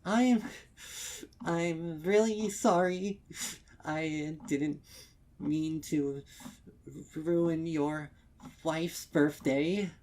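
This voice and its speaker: A man voicing a distraught young pony mare who forces brittle cheer in a high, trembling, stammering voice for a story. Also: steady tone, extremely slow, long pauses, darkish tone. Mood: apologetic, tearful.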